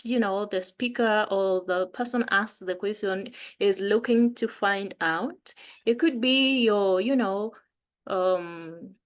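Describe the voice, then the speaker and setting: A woman with a Kenyan accent teaching a beginner language lesson, patiently repeating phrases. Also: mid-range voice.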